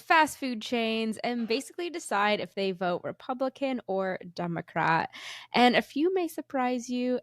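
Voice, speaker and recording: A woman co-hosting a casual podcast, upbeat and quick to laugh. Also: a little high, no echo, clean studio sound. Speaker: podcast co-host, former cruise director.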